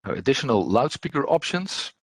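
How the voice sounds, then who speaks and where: A man giving an unscripted software tutorial as instructor, speaking haltingly and hesitantly, often repeating words. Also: mid-range voice, big pitch swings, dry recording.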